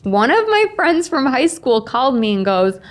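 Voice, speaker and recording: A woman's voice: sits high, normal speed. Candid, playful woman in her forties. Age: 40s. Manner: candid, playful, animated storytelling with acted-out quotes. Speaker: podcast guest, memoir author. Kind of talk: casual chatty interview storytelling.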